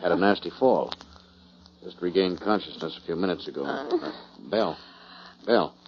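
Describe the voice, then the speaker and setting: An actor playing a concerned, businesslike male rodeo doctor, measured and cautious in 1940s radio style. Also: low voice, crowd noise, lightly acted. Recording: dull, muffled 1940s radio recording.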